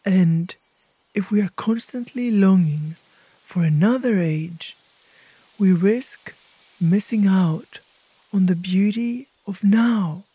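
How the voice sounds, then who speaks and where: A woman narrating a guided imagery meditation as host, speaking gently in short, hushed phrases with pauses. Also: medium pitch, slightly rough, no echo, poor audio.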